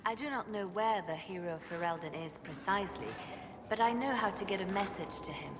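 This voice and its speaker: An actress playing a composed, devoted spymaster in a fantasy game, with a measured, restrained delivery. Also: female voice, medium pitch, echoey room, long reverb tail, noisy background, lightly acted. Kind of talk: scripted fantasy game dialogue.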